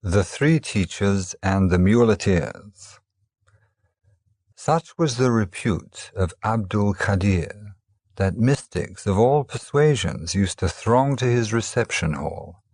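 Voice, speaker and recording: A man narrating traditional teaching stories as a storyteller, in a measured, formal manner. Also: on the low side, darkish tone, dry recording, clean studio sound. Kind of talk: scripted narration of teaching tales.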